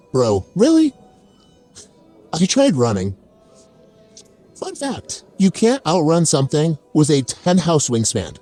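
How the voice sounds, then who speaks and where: Casting: theatrical, chaotic fantasy barkeep played by a man, very animated delivery, mellow tone, oversharing comic storyteller. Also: medium pitch. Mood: dramatic, awed, then comic, incredulous. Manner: theatrical, wry, comically self-deprecating. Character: chaotic oversharing fantasy tavern keeper spinning tall tales. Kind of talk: dramatic first-person comic storytelling.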